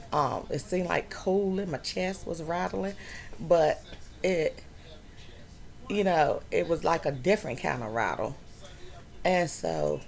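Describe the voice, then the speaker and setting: A middle-aged woman vlogging from home, telling a personal story slowly with many fillers. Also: fairly low pitch, gravelly. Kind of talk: personal health story vlog.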